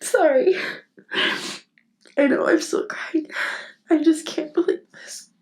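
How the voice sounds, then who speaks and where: A woman acting a tender, lovestruck best friend in an intimate ASMR roleplay, speaking in a halting, earnest, vulnerable way. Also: sits high, darkish tone. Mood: tearful, awed. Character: tender, lovestruck female best friend confessing her feelings. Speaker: voice actress.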